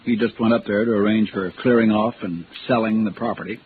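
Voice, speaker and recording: A man's voice: on the low side, steady tone, a little fast, natural voice. An actor playing a domineering, folksy husband and insurance man in a 1950s radio drama. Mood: insistent, explanatory. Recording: tinny vintage radio with faint noise.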